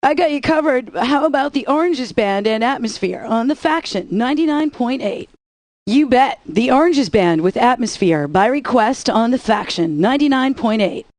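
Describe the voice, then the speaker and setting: A woman's voice: a little high, animated, moderate pace, a little mellow, dry recording, close to an everyday voice. A woman playing a sassy, teasing rock radio DJ who reads song intros in dry, scripted banter.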